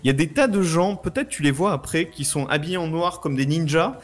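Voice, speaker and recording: A man's voice: a little high, brisk. A man explaining things informally in casual chat, using slangy wording.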